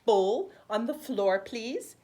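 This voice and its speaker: A woman voicing an eager young boy who plays at being a dog, in an excitable, emphatic storybook read-aloud. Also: fairly high pitch, slow. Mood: polite, eager.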